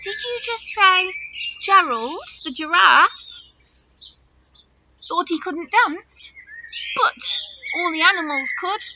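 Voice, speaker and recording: A woman talking chattily to young children as their teacher, animated and full of questions and wonder. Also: very high-pitched, slow pace, long pauses. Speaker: children's teacher hosting story follow-up activities. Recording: dull audio with loud noise and clicks.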